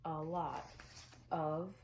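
A young woman reading a picture book aloud in a bedroom, adding gentle chatty asides for her listeners. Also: low voice, fairly even intonation, drawn-out words, gravelly, noisy background. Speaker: storybook reader. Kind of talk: read-aloud storytime. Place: bedroom, indoors.

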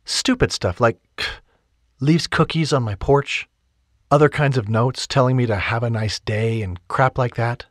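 A man voicing a stressed, stubborn young woman, raising his pitch and speaking emphatically. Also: unhurried, no room sound, clean studio sound, natural voice. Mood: upset.

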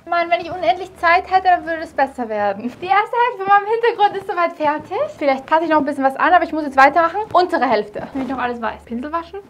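A woman's voice: very high voice, lively intonation, fast talker, few pauses, a little hiss or hum. A woman, chatting casually and playfully while painting, with a dramatic, self-deprecating streak. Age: 20s. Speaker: vlogger. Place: small indoor studio room.

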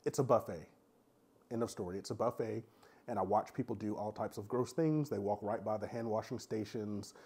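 A man chatting frankly in a casual review monologue, his voice raspy and congested from a cold, with lots of filler sounds. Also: lowish voice, brisk, darkish tone. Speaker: vlogger reviewing a cruise.

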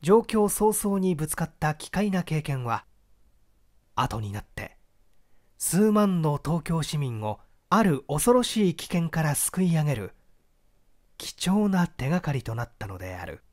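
A man narrates literary fiction as an audiobook reader. His storytelling is expressive, with animated movement in pitch. The voice sits slightly high for a man. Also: measured pace.

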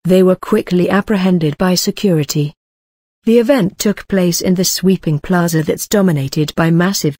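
A voice reading a news article aloud in an even, uniform way. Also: mid-range voice, no echo, clean studio sound. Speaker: news reader.

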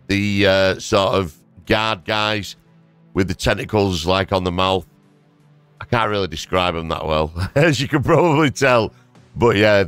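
A hoarse man giving casual, rambling gameplay commentary as a gaming YouTuber, thanking his viewers. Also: lowish voice, fairly bright tone.

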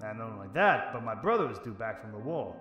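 A man's voice: fairly low pitch, sing-song, fast talker, fairly bright tone, reverberant, faint music behind. A man playing a stubborn, wry 1940s private detective in a noir radio drama, speaking in terse, slangy tough talk on a close mic. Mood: brooding narration. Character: hard-boiled, stubborn 1940s private detective, gruff uncle. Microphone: close studio microphone, headset.

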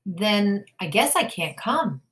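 Storybook squirrel, read by a woman: downcast, hesitant, left out, unhurried pace. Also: mid-range voice, very animated, a bit bright, voice pushed up. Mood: sad. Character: sad, left-out squirrel friend.

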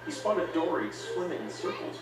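A man's voice: high-pitched, sing-song, moderate pace, background noise. A man reading a children's read-along story as a scripted narration. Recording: echoey, distant, with loud music. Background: loud music.

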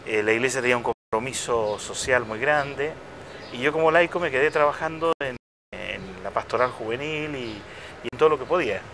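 A man in his fifties, a parish priest, speaks reflectively in a bright, forward voice, often pausing and restarting his sentences. He is recorded on a lapel mic at an outdoor restaurant patio. A faint hiss of outdoor ambience sits under his voice. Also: medium pitch, poor audio. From Chile. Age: 50s. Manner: unhurried, reflective, with many hesitations and restarts. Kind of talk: casual interview conversation.

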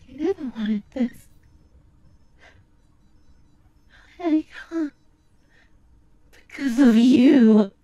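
A woman playing an obsessive, anguished would-be mother in an audio roleplay, halting and swinging between whispers and outbursts. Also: sits high, dark tone, dull audio. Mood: distraught disbelief. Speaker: voice actor. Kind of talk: dramatic ASMR audio roleplay.

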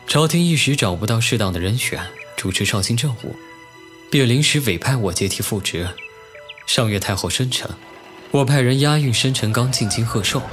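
A man's voice: mid-range voice, animated, normal speed, fairly bright tone, close to an everyday voice. Man acting a proud young captive nobleman over music. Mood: grim, recounting. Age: young adult. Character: proud young nobleman officer held captive, teasing and wistful. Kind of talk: ancient-costume audio drama acting.

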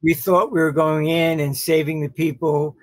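An older man giving informal expert commentary as an interview guest on a video call, halting yet emphatic and dismissive. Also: fairly high pitch, flat delivery, dry recording. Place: home office, indoors.

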